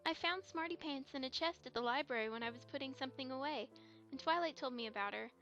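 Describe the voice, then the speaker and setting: A woman's voice: fast talker, fairly bright tone, soft background music. A woman voicing a shy, earnest young filly in an audio drama, childlike and a little hesitant. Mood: sheepish, earnest. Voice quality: childlike, high. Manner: hesitant, earnest, stammering over confessions. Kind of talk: fan audio drama acting. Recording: slightly dull, lo-fi microphone.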